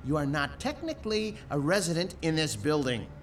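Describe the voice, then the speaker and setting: A man's voice: on the high side, big pitch swings, a little fast, soft background music. An actor playing a grouchy, sarcastic male landlord who grumbles and talks bluntly, in an audio drama. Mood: grumpy.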